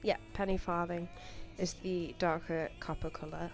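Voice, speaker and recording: A woman's voice: lowish voice, level tone, moderate pace. A young woman with a slightly stuffy voice from a cold, rambling casually through a makeup tutorial with plenty of ums and likes. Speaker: makeup vlogger. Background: faint music.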